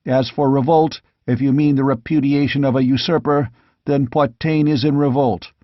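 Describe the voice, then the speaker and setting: A man's voice: medium pitch, fairly even intonation, normal speed, dry recording, muffled recording, close to an everyday voice. A man voicing a stern, proud knight captain with a cold, commanding manner in a fantasy audiobook. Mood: cold, defiant.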